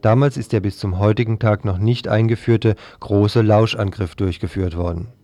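Mellow-voiced man reading a news report. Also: fairly low pitch, fairly even intonation, moderate pace, no echo. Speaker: radio news presenter.

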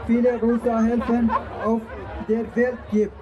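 Very high, even-toned man reading haltingly. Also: muffled recording. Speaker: rally speaker reading out a group chat. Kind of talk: halting read-out of prepared text. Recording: crowd noise at outdoor public event.

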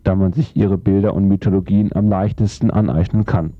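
A man's voice: low-pitched, steady tone, a little fast, no echo. A man narrating a scripted theoretical text in a formal, declarative manner. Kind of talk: scripted reading of a theoretical essay. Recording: dull lo-fi sound.

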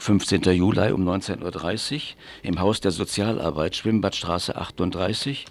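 A man presenting on morning radio, reading out local event listings in a halting, list-like way and repeating key details. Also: deep voice, vocal fry. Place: radio studio.

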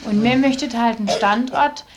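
Woman, slightly high voice, colloquial interview answers, noisy field recording. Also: moderate pace. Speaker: interviewee, protesting childcare worker. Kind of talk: casual spoken interview. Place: on site beside a building site.

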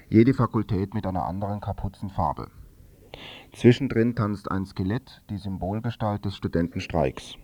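A man's voice: sits low, warm tone, no echo. A man reading out written article excerpts as the quote reader in a radio feature.

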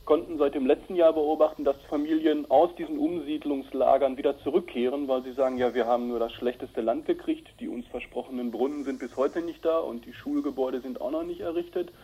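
A man's voice: mid-range voice, steady tone, fairly quick, hardly any pauses, mellow, husky, low-quality recording. A man giving matter-of-fact, explanatory answers in an informal radio interview. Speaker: interviewed activist reporting on an Indian dam project.

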